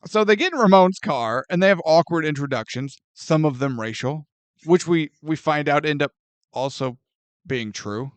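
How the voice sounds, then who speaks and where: A man co-hosting a casual film review chat, animated and jokey, often slipping into mock voices. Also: a little high, moderate pace, no room sound. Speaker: podcast co-host.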